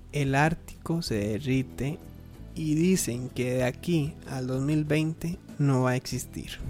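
A man hosting a radio show with informal news commentary, earnest and emphatic, in halting phrases. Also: on the high side.

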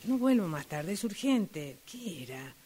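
A woman's voice: mid-range voice, very animated, a little slow, dark tone, slightly rough. An Argentine woman performing a short acted dialogue exercise as a drama workshop student.

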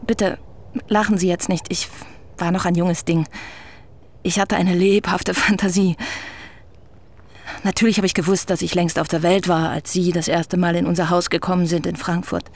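A politely probing young writer. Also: female voice, on the low side, level tone, a little mellow. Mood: earnest. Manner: polite, measured questioning. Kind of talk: radio drama acting.

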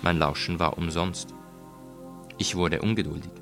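A male audiobook reader with a low voice narrates a gothic tale. His delivery is deliberate, expressive and full of suspense. Music plays behind the reading. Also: vocal fry.

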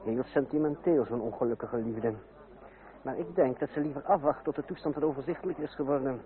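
A man's voice: mid-range voice, fairly quick, natural voice. An actor playing a cool, sardonic young Frenchman in a radio play, speaking with cultivated theatrical irony. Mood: cool, wry. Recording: tinny, band-limited old radio recording.

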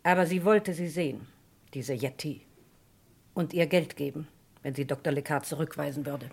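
A woman's voice: low voice, normal speed, creaky, a little mellow, slightly rough, heavily acted. An actress playing a guarded, reluctant lady who defends her friend under questioning in a German radio crime drama, speaking formally and earnestly.

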